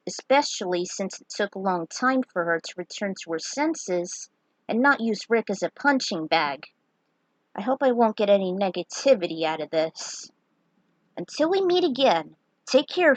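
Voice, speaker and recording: A woman's voice: on the high side, no room sound, rough recording. A woman who writes fan fiction, reading her own story aloud in an earnest, amateur way with small slips, then chatting casually to her readers.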